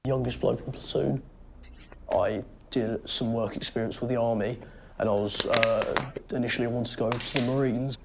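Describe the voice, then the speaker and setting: Teen lad recruit, gravelly creaky voice, clicky noisy recording. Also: male voice, medium pitch, fairly even intonation, moderate pace. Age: teens. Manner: hesitant, casual. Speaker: army recruit. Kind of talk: documentary interview.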